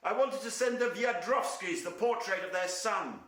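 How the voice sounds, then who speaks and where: A man's voice: high-pitched, normal speed, fairly bright tone. An actor playing a proud, troubled middle-aged painter and father in a radio play, emphatic and dismissive in manner. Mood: scornful, lofty.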